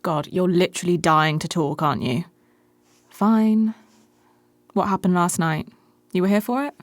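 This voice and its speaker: Radio play: actress as prickly, weary, brash woman, sardonic and blunt, lowish voice, dry close sound. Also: normal speed, dry recording, close to an everyday voice. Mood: teasing. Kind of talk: naturalistic radio drama acting.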